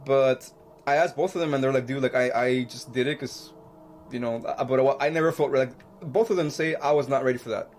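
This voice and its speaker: A man co-hosting a podcast in casual chat, halting and repeating himself as he searches for words. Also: mid-range voice, a little mellow, slight background noise. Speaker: podcast co-host.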